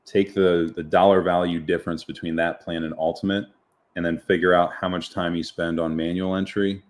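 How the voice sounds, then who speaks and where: A man co-hosting a live stream, calmly explaining things step by step. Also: low voice, fairly quick. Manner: calm, explanatory, accountant-like reasoning. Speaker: co-host of a live product demo. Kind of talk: casual live-stream chat.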